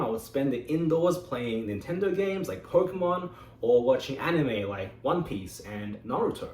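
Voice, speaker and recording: Man, lively delivery, speaking in an echoey meeting room a distance from the mic. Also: mid-range voice, a little hiss or hum. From Australia. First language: Mandarin Chinese. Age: 20s. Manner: expressive storytelling with dramatic pauses. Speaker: club member giving a speech. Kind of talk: prepared speech to a club.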